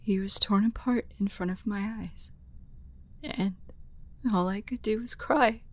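A woman voicing a grieving, vengeful young demon hunter in an audio roleplay, soft and breathy, telling her story haltingly. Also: medium pitch. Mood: shaken, halting. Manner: slow, halting, haunted storytelling. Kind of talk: dramatic audio roleplay monologue.

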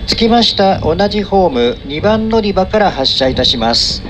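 A man's voice: high-pitched, expressive, moderate pace. A man working as a train conductor, making polite, formal onboard announcements phrase by phrase. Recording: dull train PA with music, vehicle noise.

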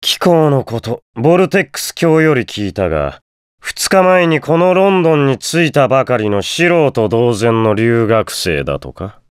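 A man's voice: medium pitch, very animated, clear, resonant tone, dry recording, clean studio sound. A haughty, menacing nobleman. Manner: haughty, slow and sneering. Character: cold, menacing aristocratic male prosecutor. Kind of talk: game voice clip. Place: studio.

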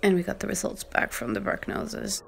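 A young woman playing a weary, drugged teenage girl in a drama, confessional, with a languid, halting, half-whispered delivery. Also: medium pitch, wildly swooping pitch, vocal fry, breathy edge, full character voice. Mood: wistful. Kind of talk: naturalistic screen drama monologue, fan voiceover. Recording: music behind the voice.

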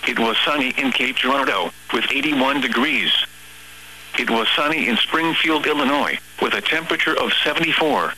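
A synthetic male text-to-speech voice reading weather radio forecasts and observations in a measured, formal, impersonal way. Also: mid-range voice, bright, forceful tone, poor audio. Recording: weather radio broadcast with hum and static.

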